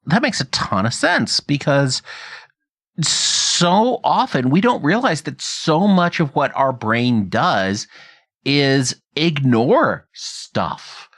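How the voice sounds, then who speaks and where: A man's voice: fairly high pitch, sing-song, slow, fairly bright tone. A man hosting a conversational interview, asking questions and explaining things in a reflective way. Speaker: podcast host interviewing a guest.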